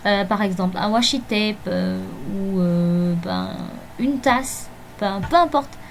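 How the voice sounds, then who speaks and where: A woman whose first language is Malagasy, narrating a journal planning video in a hesitant way with many fillers and soft laughs. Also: medium pitch, slow, slight background noise. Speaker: bullet journal vlogger. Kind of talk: voice-over narration of a plan with me. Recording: faint music behind voice-over.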